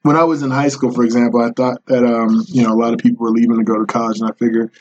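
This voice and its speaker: A young man from Colorado, a rapper guest on a podcast, talking in a laid-back, measured way. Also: mid-range voice, little pitch movement, a little mellow, breathy edge, highs cut off. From Colorado, USA. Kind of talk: casual podcast interview.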